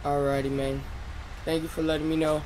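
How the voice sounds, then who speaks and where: Male gamer, fire officer roleplay, informal chatter, raised pitch, noisy siren-and-engine backdrop. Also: flat delivery, brisk, a little mellow. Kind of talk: in-character game roleplay chat.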